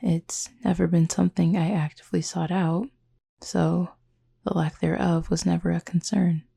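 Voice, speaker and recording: A woman playing a reserved, tender cupid roommate in an intimate audio roleplay, speaking formally and gently wry in a breathy, husky voice. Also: on the low side, flat delivery, normal speed, heavily acted. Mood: guarded, reflective. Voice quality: husky, breathy, often near whisper.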